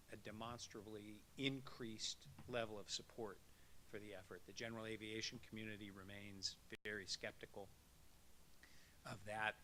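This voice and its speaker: Guy doing a dry policy briefing at a conference, kinda low but sharp voice, little hiss in the recording. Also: low-quality recording. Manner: conversational, wry policy briefing. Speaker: association executive giving a legislative update. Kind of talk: conference speech.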